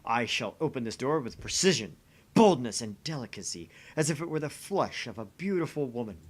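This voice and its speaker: Casting: a man as self-important young mad scientist, loud theatrical delivery, highly animated pitch, grand pronouncements. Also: fairly quick. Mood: grandiose. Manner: bombastic, grandiose proclamations. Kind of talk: amateur visual novel voice acting.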